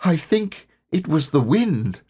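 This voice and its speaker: A man acts out a small, anxious piglet from a children's storybook. The voice sits high and swings around in pitch, with a nervous, timid manner. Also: sing-song, takes it slowly, darkish tone, slightly rough, heavily acted.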